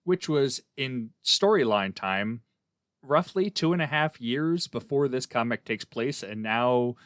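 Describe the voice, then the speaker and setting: A man's voice: mid-range voice, animated, measured pace, fairly bright tone, no echo, clean studio sound. A man speaking casually and giving his opinions as a panelist in a discussion about comics. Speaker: podcast panelist reviewing comics.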